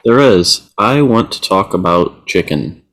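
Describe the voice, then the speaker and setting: A man with a lower voice chats easygoingly on a podcast, stretching out lists and stammering a little as he asks questions. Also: expressive, measured pace, a bit bright. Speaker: co-host. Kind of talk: casual roundtable chat.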